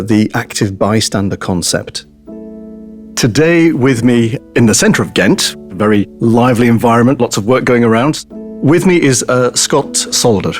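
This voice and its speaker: A man hosting a podcast interview, asking measured, somewhat hesitant questions. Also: lowish voice. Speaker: podcast host.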